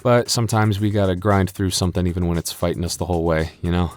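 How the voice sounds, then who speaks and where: Casting: male audio creator, low-pitched, casual and joking outro delivery, hesitant, with music underneath. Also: fairly even intonation, a little mellow. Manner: halting, self-deprecating, joking. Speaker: audio roleplay creator and voice actor. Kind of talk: casual comedic outro to listeners.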